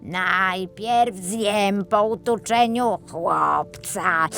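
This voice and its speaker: A woman voicing a cruel, bossy old witch who gloats over her prey in a slow, drawn-out, menacing way for a children's fairy tale. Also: mid-range voice, gravelly.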